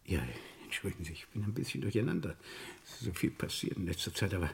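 A man's voice: low voice, lively intonation, fast talker, gravelly. An actor playing an anxious, polite accused man in a German crime radio play, hesitant and searching for words. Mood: groping, agitated. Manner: hesitant, searching, repeating himself.

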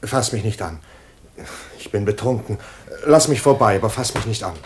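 An actor in a German radio play playing a troubled husband who speaks curtly and evasively, with a little noise in the recording. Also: male voice, fairly low pitch, animated, normal speed, a little mellow, natural voice. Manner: curt, evasive. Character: curt, troubled husband who keeps his wife away.

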